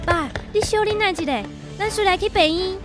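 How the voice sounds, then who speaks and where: A woman with a Taiwanese accent, acting a busy, caring mother in a radio drama, expressive and brisk. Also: extremely high pitch, soft background music. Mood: alarmed, urgent.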